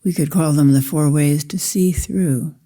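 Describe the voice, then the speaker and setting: Woman, guided meditation, very mellow dark tone, unhurried, measured phrasing, long pauses. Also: low voice. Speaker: Zen meditation teacher. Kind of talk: guided meditation, slow spoken instruction.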